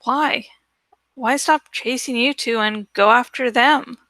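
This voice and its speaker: A woman's voice: on the high side, takes it slowly, bright, forceful tone, no room sound, exaggerated voice. A woman voicing an earnest, probing teenage girl who puzzles things out in a read-aloud story. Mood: puzzled, probing.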